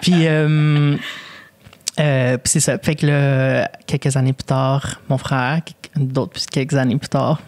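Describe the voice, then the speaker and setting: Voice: medium pitch, little pitch movement, slow pace, a little mellow. Twenty-four-year-old Québécois podcast co-host, Spanish native tongue; easy, slangy, profane banter. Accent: Québécois French. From Quebec, Canada. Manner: slangy, swears casually, drops English words. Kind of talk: casual chat.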